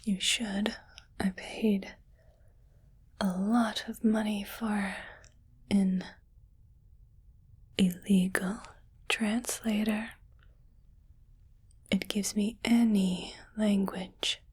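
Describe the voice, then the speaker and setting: A breathy, whispering alien lady of great wealth , played by a woman speaks extremely slowly in an ASMR roleplay. She is haughty, greedy and possessive, weighing each short phrase as if appraising a prized item. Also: mid-range voice, little pitch movement, darkish tone, heavily acted. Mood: secretive, smug. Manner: slow, deliberate, pausing between short phrases, coolly appraising.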